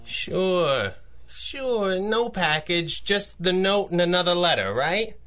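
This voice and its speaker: An actor playing a guarded working-class delivery clerk, hesitant and colloquial, in an old-style radio drama. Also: male voice, high voice, lively intonation, takes it slowly, a bit bright, soft background music, full character voice. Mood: hesitant, helpful.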